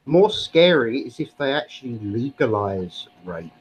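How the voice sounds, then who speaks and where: A man's voice: medium pitch, very animated, unhurried, slight background noise, poor audio. A British man arguing politics on a live stream in an opinionated, lecturing way. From Britain. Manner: opinionated, lecturing, uses actually a lot. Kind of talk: casual political argument.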